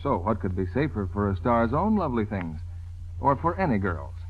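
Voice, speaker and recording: Husky, animated American male radio announcer. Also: fairly low pitch, moderate pace. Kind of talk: scripted 1940s radio advertising. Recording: dull vintage radio recording.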